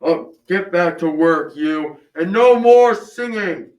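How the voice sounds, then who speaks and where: In an echoey room, a mean giant son acted by a teenage boy threatens slowly. The voice is high for a male, drawn-out and menacing. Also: expressive, darkish tone, far from the mic, lo-fi sound, slight background noise. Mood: surly. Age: young teen. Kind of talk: school radio drama acting.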